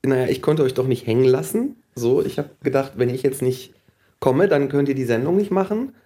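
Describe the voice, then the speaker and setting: Lecturer guest w a cold, mellow voice, laid back, explains stuff. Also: male voice, mid-range voice, brisk. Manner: relaxed, explanatory, laughs easily. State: has a cold. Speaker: guest, university lecturer and psychologist. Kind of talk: casual interview answers. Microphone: phone line.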